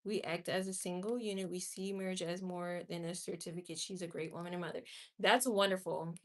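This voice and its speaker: A woman's voice: lowish voice, fairly even intonation, fairly quick. Candid young woman livestreamer. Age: 20s. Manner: candid, opinionated, talks to chat directly. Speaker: livestream host. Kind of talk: casual livestream chat answering viewers.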